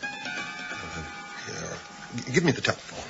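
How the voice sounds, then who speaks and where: An actor playing an agitated, paranoid psychiatric patient in a 1980s radio drama, halting and accusatory. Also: male voice, natural voice. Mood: tense, rattled. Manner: halting, accusatory, swinging into frantic outbursts. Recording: dull 1980s radio recording, music underneath.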